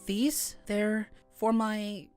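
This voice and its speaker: A woman voicing a shy, fragile young boy in a game, timid and halting. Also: mid-range voice, steady tone, faint music behind. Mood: shyly eager. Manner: timid, halting, soft-spoken.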